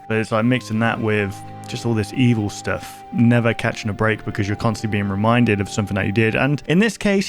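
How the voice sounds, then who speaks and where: A man giving casual reaction commentary as a video host, thinking aloud informally and often posing questions. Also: fairly low pitch. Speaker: YouTube host reacting to viewer-recommended horror videos. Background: prominent music behind the voice.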